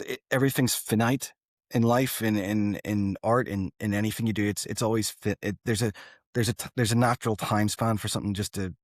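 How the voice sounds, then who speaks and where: Low-voiced man in his thirties, chatting. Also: no room sound, studio quality. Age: 30s. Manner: informal, earnest, rambling with broken-off phrases. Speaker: guest, rock band singer and guitarist. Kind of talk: casual interview chat.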